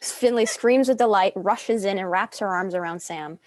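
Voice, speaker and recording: A woman's voice: mid-range voice, normal speed, raised voice, a little hiss or hum. A young woman reading screenplay stage directions as a narrator. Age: young adult.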